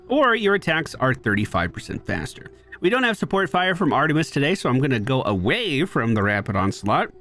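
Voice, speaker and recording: Animated man doing gameplay commentary. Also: mid-range voice, slight background noise. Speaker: gaming video host. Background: video game sounds.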